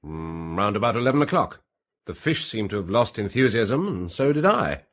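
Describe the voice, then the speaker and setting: An actor playing an evasive, defensive British gentleman suspect in a radio detective drama, hesitant and drily understated. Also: on the low side, big pitch swings, moderate pace. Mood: guarded, flippant.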